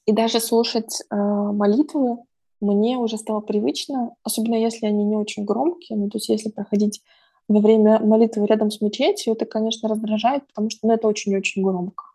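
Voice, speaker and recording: A woman's voice: mid-range voice, flat delivery, fairly quick, dark tone. A woman, a psychologist chatting casually and reflectively, thinking aloud with frequent fillers. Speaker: podcast host, psychologist. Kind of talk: casual conversational chat. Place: home.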